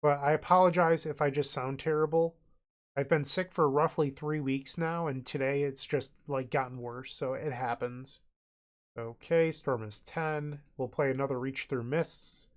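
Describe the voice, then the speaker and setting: A man's voice: mid-range voice, steady tone, measured pace. A man with a cold, sounding congested and nasal, casually narrating his online card game move by move as he thinks aloud. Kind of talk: casual gameplay commentary.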